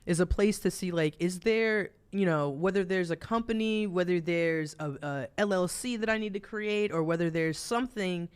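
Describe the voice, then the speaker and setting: A man in his thirties with a New Jersey accent, co-hosting a podcast in relaxed, rambling chat full of fillers and laughs. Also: high-pitched, normal speed, few pauses, clean tone. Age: 30s. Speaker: podcast co-host. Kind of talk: casual chat.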